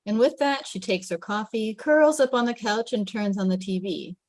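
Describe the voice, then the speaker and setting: A woman's voice: mid-range voice, normal speed, a little mellow, no echo, studio quality. A woman reading stage directions as scripted narration in a table read.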